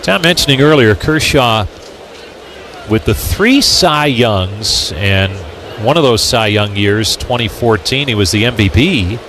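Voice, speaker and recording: Male baseball announcer, animated pitch, radio play-by-play, noisy outdoor ballpark behind him. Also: a little slow. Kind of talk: live sports commentary. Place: ballpark broadcast booth. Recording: ballpark outdoor background noise. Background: ballpark ambience, noise.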